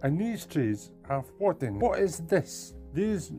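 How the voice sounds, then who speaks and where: A man's voice: a little high, sing-song, takes it slowly, mellow, faint music behind, heavily acted. A man playing an outraged, blunt male kitchen inspector in a story, scolding with emphasis.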